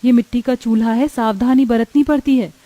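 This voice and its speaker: A woman's voice: fairly high pitch, fairly even intonation, fairly quick, no echo, dull audio, pitched above the performer's own voice, close to an everyday voice. A woman voicing a humble, dutiful poor young daughter-in-law in a cartoon moral story, polite, earnest and patient. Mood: kind, polite. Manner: polite, earnest, soft-hearted.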